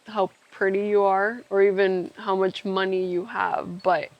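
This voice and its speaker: A woman's voice: mid-range voice, flat delivery, unhurried, no echo, highs cut off. A young woman from Ecuador talking candidly and reflectively on a podcast, thinking aloud with trailing asides. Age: young adult. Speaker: podcast co-host telling their own story.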